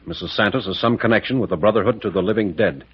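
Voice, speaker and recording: An actor in an old radio drama playing a tough, decisive, suspicious captain with clipped, urgent, plain-spoken delivery. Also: male voice, fairly low pitch, fast, husky. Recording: muffled old radio recording.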